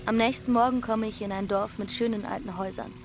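A woman's voice: mid-range voice, fairly even intonation, fast, faint music behind, natural voice. Plain-spoken runaway girl narrator played by a woman. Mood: observant, calm. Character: young runaway girl narrating her road trip. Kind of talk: scripted first-person narration.

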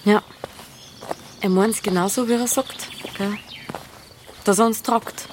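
A woman's voice: medium pitch, steady tone, takes it slowly, noisy background, lightly acted. An actress playing a plucky, affectionate, teasing young female hiker with a Bavarian accent, in a naturalistic dialect radio drama. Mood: storytelling.

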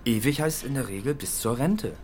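An actor playing a smooth-talking, needling office boss in a radio drama, ironic and insinuating as he prods a colleague. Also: mid-range voice, lively intonation, moderate pace, darkish tone, slight background noise, close to an everyday voice. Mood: persuasive.

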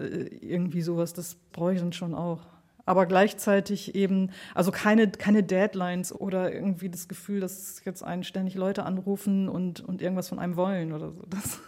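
A woman's voice: lowish voice, a little mellow. Woman thinking aloud in an interview. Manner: informal, thinking aloud in loosely strung thoughts. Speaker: interviewed radio play maker, composer and performer. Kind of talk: spontaneous, reflective interview talk.

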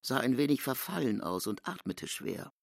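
A male narrator reads literary fiction. His voice is creaky with lively swings in pitch, recorded very dry. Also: normal speed, no echo.

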